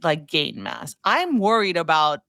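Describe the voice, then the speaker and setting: A woman's voice: sits low, big pitch swings, measured pace, fairly bright tone, no room sound, clean studio sound. A woman giving casual conversational commentary as a podcast guest, rambling informally and peppering her sentences with "like". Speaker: podcast guest, food trends newsletter writer.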